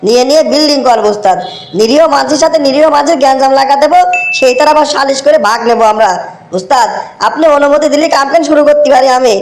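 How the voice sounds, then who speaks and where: A man's voice: very high voice, poor audio. A man voicing a fawning, scheming village henchman in a comic cartoon, chatty and cajoling, with a rural Bangladeshi Bengali accent.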